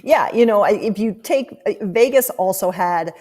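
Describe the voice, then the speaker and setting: A woman giving thoughtful sports analysis as a guest, speaking in an articulate, measured way and stressing key words. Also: mid-range voice, a little mellow. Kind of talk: thoughtful hockey analysis in an interview.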